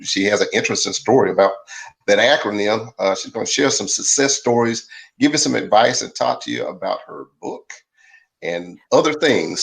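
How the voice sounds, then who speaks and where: A man's voice: fairly low pitch, gravelly, poor audio. A man co-hosting a live show, speaking enthusiastically and rambling with many uhs. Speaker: co-host.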